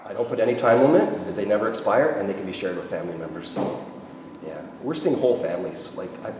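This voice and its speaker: A middle-aged man teaching massage, chatty and coaching with quick instructions. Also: on the low side, expressive. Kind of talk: hands-on teaching demonstration. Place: large echoey teaching room. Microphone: far handheld camera. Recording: echoey hall, far from the camera, noisy.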